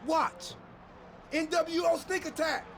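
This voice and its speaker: A fanatically loyal, goofy pro wrestler in a game cutscene. His very high, creaky voice rambles on with boastful, excitable zeal. There is clear noise behind him. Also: normal speed, heavily acted. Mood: manic, excited. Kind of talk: video game cutscene acting. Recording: clearly noisy background.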